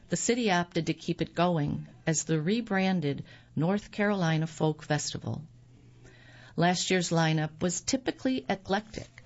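A woman with a lowish voice reads magazine pieces aloud, steady and measured. The sound is dull and a little lo-fi, with the top end rolled off. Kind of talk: scripted magazine reading. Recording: dull lo-fi recording.

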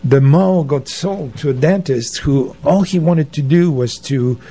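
Older man, mellow tone, halting delivery, conversational storytelling, interview guest. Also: a little high, husky. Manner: halting, anecdotal. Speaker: interview guest, arts organizer. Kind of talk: conversational reminiscing.

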